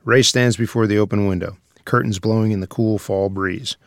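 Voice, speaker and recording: A man's voice: fairly low pitch, fairly even intonation, moderate pace, no echo, clean studio sound. A man reading stage directions as scripted narration for a screenplay.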